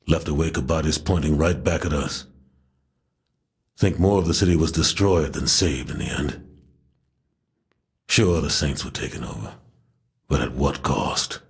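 An actor playing a weary, regretful ex-gang leader in middle age, speaking gravely and slowly in a game audio log. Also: male voice, heavily acted. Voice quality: very deep, gravelly, husky.

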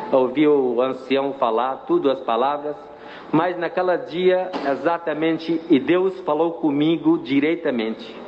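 A middle-aged man with a slightly high voice recounts his faith story, earnest and halting in a language not his own. The recording is dull and carries a long reverb tail. Faint noise hums underneath. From Iraq. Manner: earnest, halting non-native Portuguese. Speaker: church member giving testimony. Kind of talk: personal religious testimony.

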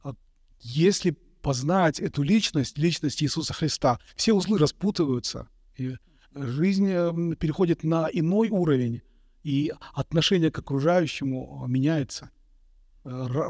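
A man in his thirties, an Orthodox monk from Kazakhstan, answering interview questions slowly and thoughtfully, with many pauses, into a studio mic. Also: a little high, a little mellow, dry recording. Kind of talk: calm reflective interview answers. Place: bright indoor room, interview set. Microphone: studio mic on a boom in front of him.

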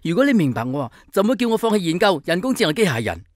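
Young inventor dad, idealistic, wounded pride, very varied intonation, radio drama. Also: male voice, high-pitched, very animated, racing, no room sound, clean studio sound. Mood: hurt, defiant. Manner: indignant, self-pitying. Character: idealistic, frustrated inventor husband and father.

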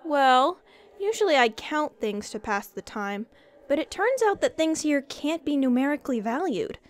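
A woman voicing a sweet, patient young girlfriend in a cartoon, gentle and chatty. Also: high-pitched, big pitch swings, normal speed. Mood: gentle, concerned. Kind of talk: cartoon character acting.

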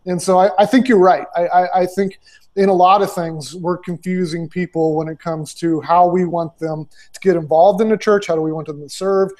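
A man's voice: sits high, steady tone, moderate pace, darkish tone. A man in his forties, a ministry consultant, answering interview questions over a video call through earbuds, talking conversationally with many fillers and restarts. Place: small room indoors. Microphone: earbud headset on video call.

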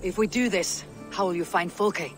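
An actress playing a steely, calculating Viking warrior woman in a video game, speaking in a terse, commanding way with a husky low voice. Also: moderate pace, over music, lightly acted. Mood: measured, determined. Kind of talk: video game cutscene acting.

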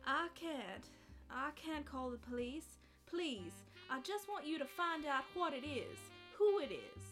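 A woman's voice: sits high, expressive, measured pace, a bit bright, faint music behind. An actress playing a mysterious, anxious woman seeking a detective's help in a noir radio play, earnest and dramatic. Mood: anxious, pleading. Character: mysterious, anxious, pleading female client of a detective.

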